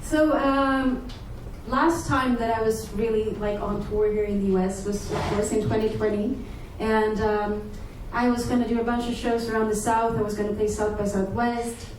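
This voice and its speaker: A woman from Sweden, chatting with her audience between songs as a singer-songwriter, friendly and quick to laugh. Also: fairly high pitch, steady tone, a little mellow, a little hiss or hum. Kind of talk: live stage banter between songs. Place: live music venue, audience present. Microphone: single room mic in the crowd far from stage. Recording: distant, echoey live room mic. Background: guitar and live room sound.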